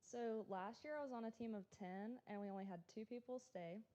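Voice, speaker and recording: A woman's voice: a little high, brisk, warm tone. A young woman from Mississippi speaking on a panel as a college golfer. She has an easygoing, plain manner. The audio sounds slightly dull. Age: young adult. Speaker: student athlete panelist.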